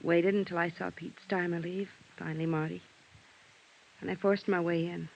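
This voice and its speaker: An American actress playing a tense, cornered femme fatale in an emotional 1950s radio drama style. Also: female voice, on the low side, little pitch movement, normal speed, gravelly, darkish tone, slight background noise. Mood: desperate. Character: tense, cornered femme fatale confessing to killing her lover. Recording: dull vintage radio recording.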